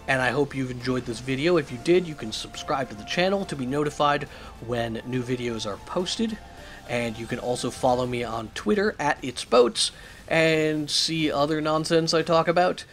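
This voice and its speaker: A man hosting a channel, recapping game news with opinions and many fillers, his voice raspy and hoarse from a cough. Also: mid-range voice. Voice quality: raspy, strained. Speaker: YouTube channel host.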